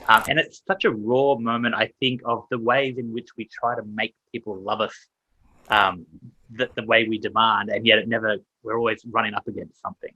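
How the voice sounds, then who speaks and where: A man's voice: on the low side, steady tone, fairly quick, sharp tone, dry recording, lo-fi sound. A man interviewing an author at a festival, reflective and hesitant.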